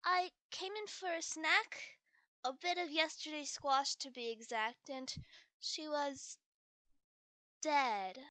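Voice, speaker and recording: Woman doing a very high, bright lady puppet. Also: takes it slowly, dry recording, heavily acted. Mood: subdued. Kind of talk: amateur puppet show acting.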